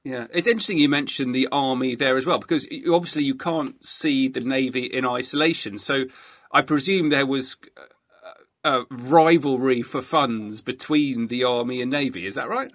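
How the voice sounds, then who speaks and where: A man's voice: mid-range voice, normal speed, a bit bright, dry recording. A man hosting a conversational history interview, thoughtfully building up to his questions. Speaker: interviewer, host.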